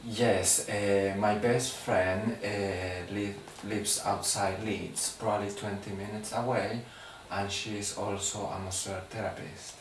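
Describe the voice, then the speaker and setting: A slow, hesitant man, pausing on fillers as he answers exam questions in English. His voice sounds roomy, with a strongly echoing space around it. It is picked up from some distance, with faint background noise. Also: lowish voice, little pitch movement. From Guatemala. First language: Spanish. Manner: hesitant, with many uh fillers and restarts. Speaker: exam candidate.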